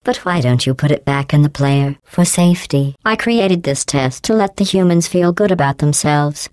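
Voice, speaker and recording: A woman voicing a cold, sarcastic female AI that runs test chambers, delivering mocking insults in a clipped, deadpan game voice. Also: low-pitched, normal speed, dry recording. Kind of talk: video game voice clips.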